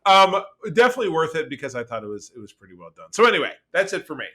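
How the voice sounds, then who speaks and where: A man chatting casually as a podcast co-host, rambling along with animated, geeky enthusiasm. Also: fairly high pitch, racing. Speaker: podcast co-host reviewing pop culture. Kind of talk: casual chat.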